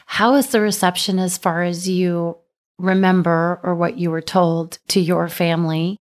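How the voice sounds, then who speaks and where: A woman's voice: on the low side, fairly even intonation, unhurried. Woman hosting an interview podcast. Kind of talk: podcast introduction and interview questions.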